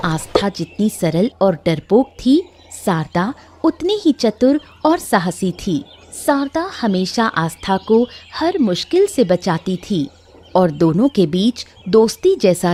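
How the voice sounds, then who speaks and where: A woman narrating a scripted moral story in an expressive, dramatic storytelling manner. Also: mid-range voice, a little hiss or hum.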